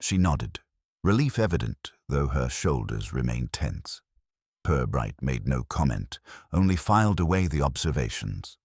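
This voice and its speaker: Very deep-voiced man narrating a mystery. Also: very animated, a little mellow, breathy edge, no room sound, studio quality. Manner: measured, literary, formal phrasing. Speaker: audiobook narrator. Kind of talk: scripted narration of a mystery story.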